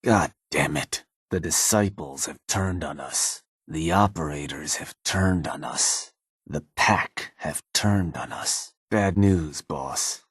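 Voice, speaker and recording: A cynical gang advisor from a video game, speaks in a very deep, husky voice. He is laid-back and slangy, with sly, folksy menace as he addresses his boss. The sound is close and dry. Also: takes it slowly, no room sound, heavily acted. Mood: grim, irritated, then wry, explaining. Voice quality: husky, low. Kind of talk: video game character dialogue.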